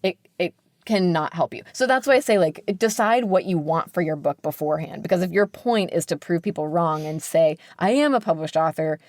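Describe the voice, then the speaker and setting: American woman, slightly low pitch, candid, emphatic, conversational interview guest, dry acoustics. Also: animated, brisk, a little mellow, dry recording. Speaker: book editor, podcast guest.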